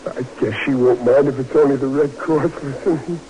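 An actor playing an earnest, lovesick American army private in a 1940s radio drama, plainspoken and halting. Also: male voice, mid-range voice, fairly even intonation, dark tone, lightly acted. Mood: tender, halting. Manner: plainspoken, halting, repeating himself. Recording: noisy vintage radio drama recording.

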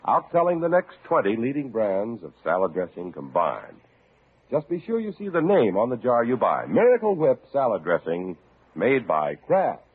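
A man's voice: mid-range voice, breathy edge. A man working as a radio announcer, with a polished, salesmanlike 1940s delivery. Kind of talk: 1940s radio ad and story narration. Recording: dull, lo-fi old radio recording.

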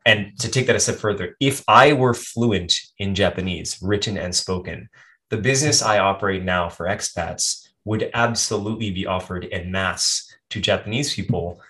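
A man's voice: sits low, normal speed, pushed and bright, low-quality recording. An American man, a health coach, answering interview questions in a thoughtful, rambling way with frequent restarts and asides. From United States. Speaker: guest, health and performance coach.

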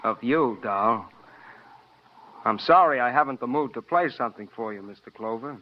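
Man as hot-headed American punk in his twenties, radio noir, slangy menace, mid pitch, muffled vintage recording. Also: normal speed, natural voice. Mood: suspicious, sneering. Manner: tough, slangy, curt. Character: surly, menacing young male lover, about twenty-four. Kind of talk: 1950s radio crime drama acting.

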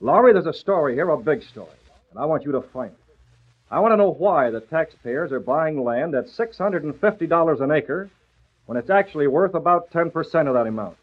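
A man playing a forceful, crusading American newspaper boss in a classic radio drama, speaking with commanding emphasis. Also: on the high side, animated, brisk. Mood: stern, determined. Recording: muffled old radio recording.

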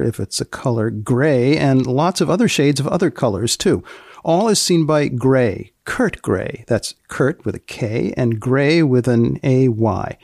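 A male podcast host with a gravelly, creaky edge to a middle-pitched voice, recorded close and dry. He moves between scripted narration and bantering questions with deadpan humour. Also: no room sound.

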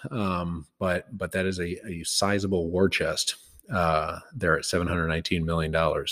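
A man hosting his own podcast, talking loosely through stock news with many fillers and restarts. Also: deep voice. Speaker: podcast host. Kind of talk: casual stock commentary.

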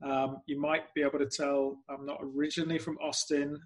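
An English man chats easily as a podcast guest, filling his sentences with "um"s and light self-deprecation. His voice sits a little higher than most men's. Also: flat delivery, a little fast. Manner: self-deprecating, chatty with frequent "um"s. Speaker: guest, club PR executive. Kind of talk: casual interview chat.

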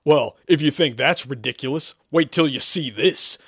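A man's voice: mid-range voice, big pitch swings, brisk, gravelly, a bit bright, dry recording, studio quality, heavily acted. A man playing a flippant, easygoing sidekick with a casual, amused, sarcastic manner.

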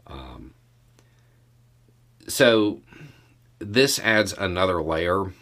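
A man with a very low voice hosts a casual news commentary. He speaks slowly and in a measured way, leaving deliberate pauses as he works through each point. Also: a bit bright. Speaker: podcast host commentator.

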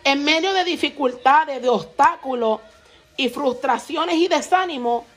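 A woman's voice: unhurried, faint music behind. A woman preaching a fervent sermon, repeatedly breaking in with praise and bursts of tongues and pushing her voice up to stress each point. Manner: fervent, peppers speech with "vive Dios, aleluya" and tongues; rises in pitch when stressing points. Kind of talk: Pentecostal-style sermon.

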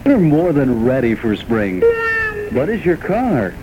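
A man's voice: mid-range voice, very animated, a little slow, music in the background. A man reading a scripted radio advertisement as an announcer, in a polished, rhythmic sales delivery. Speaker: commercial announcer. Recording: dull old radio recording.

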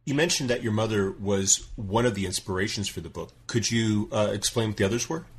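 A man hosting an interview podcast, asking measured, polite questions with occasional hesitations. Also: lowish voice. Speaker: podcast host.

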